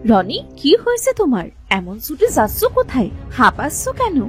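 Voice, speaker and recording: Cartoon big sister, voiced by a woman: dutiful, fretful, motherly, high animated voice with faint music. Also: normal speed. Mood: concerned. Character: responsible, caring elder sister in a village.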